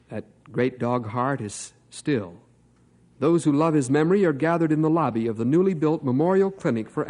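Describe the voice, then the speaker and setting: A man's voice: mid-range voice, a little mellow, natural voice. A man voicing the announcer and narrator of an old radio drama, emphatic and dramatic, speaking straight to the listeners. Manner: dramatic, emphatic listing, addresses listeners directly. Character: adult male radio announcer narrating the story.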